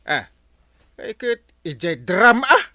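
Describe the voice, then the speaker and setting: An actor playing a jolly, scheming village captain in a radio comedy drama, full of exclamations and chuckles. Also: male voice, sits high, slow pace, no room sound, exaggerated voice. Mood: jovial. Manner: chuckling, animated, lots of exclamations. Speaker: barangay captain.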